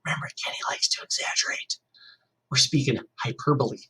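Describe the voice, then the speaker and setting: A man's voice: medium pitch, a little fast, pushed and bright, whispered, low-quality recording. A male teacher reading a novel aloud to his class, reading expressively and stopping to ask the students questions.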